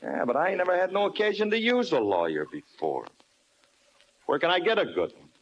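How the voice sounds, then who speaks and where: An actor playing an excitable, brash bandleader husband with slangy, ungrammatical speech, in old-time radio comedy style. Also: male voice, high voice, fairly quick, lo-fi sound, a little hiss or hum. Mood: worried. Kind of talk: 1940s-50s radio comedy acting.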